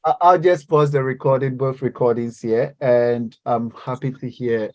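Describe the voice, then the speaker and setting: A man's voice: mid-range voice, fairly even intonation, normal speed, muffled recording. A man lecturing and leading a class discussion, hesitant, with many fillers and restarts.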